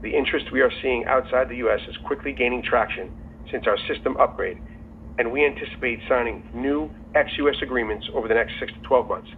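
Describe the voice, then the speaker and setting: A man in the role of company executive gives a scripted earnings call presentation in a formal, measured way. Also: medium pitch. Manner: formal, measured reading of prepared remarks. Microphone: telephone conference call. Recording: tinny phone line with faint noise.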